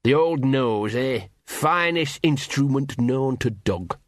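A man's voice: medium pitch, animated, slow pace, no echo, studio quality. A man voicing a scruffy talking dog in an audiobook, wheedling and nervous, rambling colloquially and repeating himself. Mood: wary bravado.

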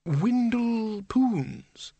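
A man's voice: very high-pitched, animated, drawn-out words, dark tone, husky, dry recording, pitched above the performer's own voice, full character voice. A man voicing a frail old undead man, hesitant, querulous but kindly, as an audiobook character. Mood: wistful.

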